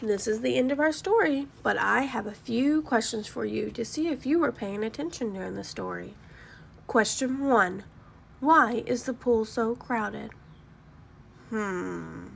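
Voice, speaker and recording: A woman reading aloud to children like a teacher, expressive and deliberate, explaining and asking questions. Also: on the high side, creaky, a little hiss or hum.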